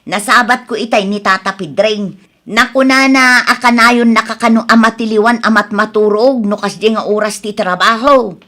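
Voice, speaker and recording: A woman's voice: on the high side, normal speed, pushed and bright. A woman playing a blunt, nagging village wife in a comedic radio drama, scolding and exasperated in a conversational way.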